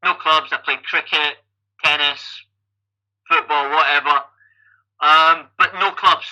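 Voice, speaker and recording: Bright-voiced Scottish man telling stories. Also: fairly high pitch, fairly even intonation, slow, dull audio. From Scotland. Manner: chatty, repeats words when starting sentences. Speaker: guest athlete and coach. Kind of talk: casual interview storytelling.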